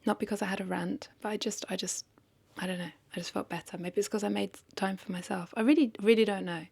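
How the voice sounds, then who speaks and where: A woman's voice: medium pitch, steady tone, quick pace, creaky, a little mellow, slightly rough. A woman talking casually and confessionally to camera as a vlogger, hesitant and reflective, questioning herself and repeating phrases.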